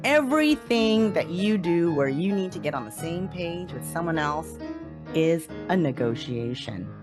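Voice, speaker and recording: A woman's voice: lowish voice, lively intonation, a little slow. Woman hosting a podcast over faint music. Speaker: podcast host.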